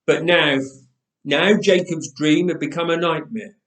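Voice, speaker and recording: A man reading a story aloud with expressive, dramatic pauses, recorded in an echoey church. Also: fairly high pitch, far from the mic. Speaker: storyteller, reader. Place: church, indoors.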